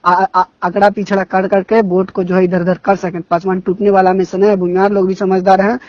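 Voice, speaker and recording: A man's voice: high-pitched, level tone, a little fast, no echo. A young man with a Bihari accent talking politics informally on a recorded phone call, indignant and emphatic, often repeating his phrases. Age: young adult. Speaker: phone caller discussing local politics.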